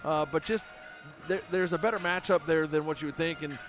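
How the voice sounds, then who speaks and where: Chatty high-voiced man calling local basketball. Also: a little fast, over music. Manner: chatty, many fillers. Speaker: play-by-play broadcaster. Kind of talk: local sports broadcast.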